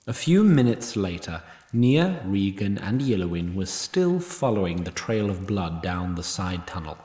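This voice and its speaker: A man's voice: low-pitched, big pitch swings, a little slow, mellow, echoey room. A man narrating a scripted fantasy story in a wry, literary manner.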